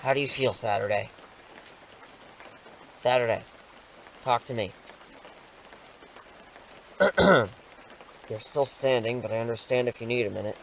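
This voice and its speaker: A man acting in an audio drama as an impulsive, excitable superhero mentor, rambling and reassuring, sheepish when challenged. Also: medium pitch, takes it slowly, long pauses, slight background noise, rough recording. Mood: relieved, sheepish.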